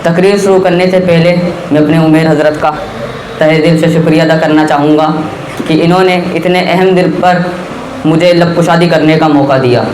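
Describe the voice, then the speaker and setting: A man's voice: on the high side, fairly even intonation, moderate pace, noisy background. A man giving a formal patriotic speech in a declamatory, impassioned manner, repeating lines for emphasis. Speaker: speech competition or event orator. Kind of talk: formal patriotic Urdu oratory. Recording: echoey public address, crowd behind.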